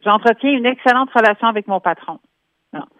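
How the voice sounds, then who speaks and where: A woman's voice: a little high, fairly quick, fairly bright tone, dry recording. Québécois woman explaining over a phone line. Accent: Québécois French. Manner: explanatory, gives concrete examples. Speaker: workplace columnist calling in. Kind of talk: radio phone-in commentary. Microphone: telephone. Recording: tinny phone line, dry.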